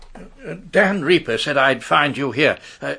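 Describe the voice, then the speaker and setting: A man playing a polite, deferential gentleman in a radio drama, hesitant and courteous. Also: a little high, expressive, measured pace, creaky, slight background noise, exaggerated voice. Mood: politely eager.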